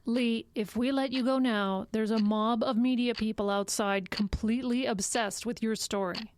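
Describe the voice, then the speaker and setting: An actress playing a measured, firm and slightly condescending psychologist in an audio drama. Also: female voice, fairly high pitch, fairly even intonation, a little hiss or hum, natural voice. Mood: earnest, firm.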